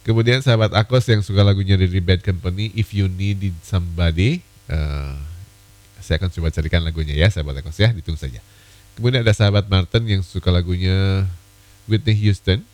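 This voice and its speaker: A man hosting an evening radio request show, a little hoarse from a cold, chatting casually with many fillers. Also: low-pitched, moderate pace, darkish tone, low-quality recording. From Indonesia.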